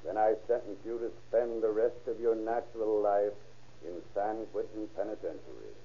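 Solemn judge, lowish voice, authoritative, measured, vintage radio drama, dull lo-fi sound. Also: male voice, mellow. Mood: stern, solemn. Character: stern, solemn male judge passing sentence. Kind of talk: old-time radio courtroom drama acting. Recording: dull, band-limited old radio recording.

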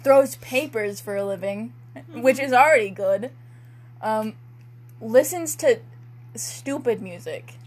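A woman's voice: fairly high pitch, takes it slowly, long pauses, darkish tone, husky. A woman doing a casual comedic dramatic reading with banter, in a giggly, self-mocking and irreverent manner. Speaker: co-host and writer of the story being read.

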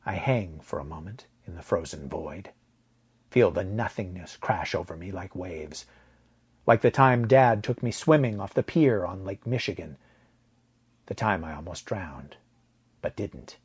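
A man with a husky voice narrating a story in a dramatic, deliberate way, letting phrase endings drop to a hush. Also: low voice, lively intonation. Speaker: audio fiction narrator.

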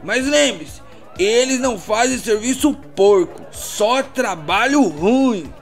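Brash high-pitched male street informant. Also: expressive, slow, soft background music, some chatter behind, heavily acted. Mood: brash, boastful. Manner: slangy, taunting, boastful. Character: brash, foul-mouthed street informant bragging about famous shadowrunners. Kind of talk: audio drama monologue.

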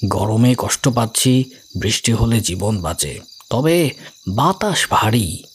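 A man playing a brilliant, calmly amused middle-aged sleuth who explains his reasoning in a measured way, in an audio story. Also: fairly low pitch, breathy edge, exaggerated voice. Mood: friendly, explaining.

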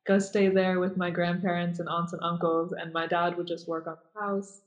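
A young woman from Nazareth speaks as an interview guest, pausing often and restarting her sentences. Her pitch stays flat and even, with little rise or fall. The recording sounds muffled and lo-fi. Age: young adult. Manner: hesitant, many fillers and restarts. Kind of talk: casual interview conversation.

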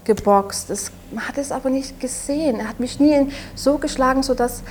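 Saxon accent woman, late 20s, kinda high voice, halting and quiet, restarts a lot, faint hiss behind. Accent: Saxon German. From Saxony, Germany. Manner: halting, soft-spoken, with frequent restarts. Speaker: interview guest. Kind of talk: personal testimony in an interview.

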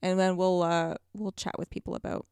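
A woman's voice: fairly low pitch, flat delivery, brisk, a little mellow, clear, resonant tone, no echo, studio quality. A woman chatting casually as a podcast guest, speaking effusively and with emphasis about what she likes. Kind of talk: casual chat.

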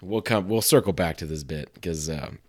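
A hoarse man with a sore throat, hosting a podcast in a casual, self-deprecating ramble full of fillers. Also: deep voice, normal speed, a little mellow. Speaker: podcast host.

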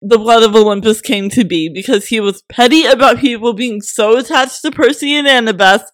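Hoarse woman podcast co-host, opinionated and teasing, slightly raised pitch. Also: brisk. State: hoarse, losing her voice. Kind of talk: casual chat.

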